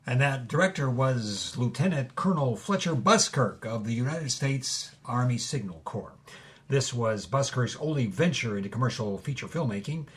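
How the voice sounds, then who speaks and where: Man playing a deadpan film history expert. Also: medium pitch, lightly acted. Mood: dryly amused. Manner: measured, informative, deadpan. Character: dryly amused male film expert recounting obscure movie history. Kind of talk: mock-documentary commentary.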